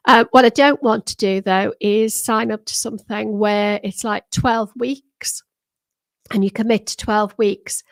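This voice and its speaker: A woman's voice: mid-range voice, steady tone, unhurried, vocal fry, dry recording. A retired British woman in her sixties, chatting casually with viewers on a livestream, rambling with frequent ums, restarts and laughs, her voice a little worn. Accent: British English. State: slightly losing her voice. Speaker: livestream host. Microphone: desktop microphone.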